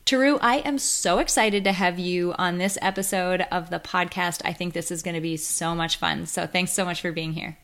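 A woman hosts a podcast with eager, emphatic warmth in her phrasing. Her voice sits a little low for a woman. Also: fairly even intonation, moderate pace, gravelly, hardly any pauses. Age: adult parent. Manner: enthusiastic, emphatic. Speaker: podcast host. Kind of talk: podcast intro and interview.

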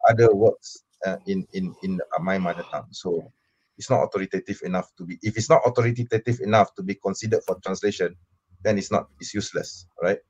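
A man's voice: low-pitched, brisk, darkish tone, breathy edge, low-quality recording. A man from Malaysia debating online, answering questions insistently with many fillers and restarts.

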